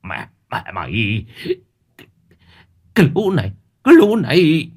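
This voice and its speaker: A man voicing a furious older boat boss who curses and threatens in a snarling, husky voice. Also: lowish voice, huge pitch swings, normal speed, fairly bright tone, exaggerated voice.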